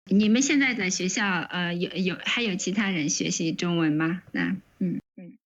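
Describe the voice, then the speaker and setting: A woman's voice: on the low side, moderate pace. A woman teaching Chinese in a casual online lesson conversation, heard over a dull lo-fi call.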